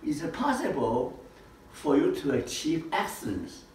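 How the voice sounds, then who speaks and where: A man's voice: on the high side, sing-song, a little slow, a little mellow, a little hiss or hum. An older man giving an informal lesson in a living room, asking rhetorical questions and gesturing broadly, recorded by a camera across the room. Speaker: lecturer. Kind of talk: informal teaching talk. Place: living room with projector screen. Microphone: far camera. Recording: echoey room from far off.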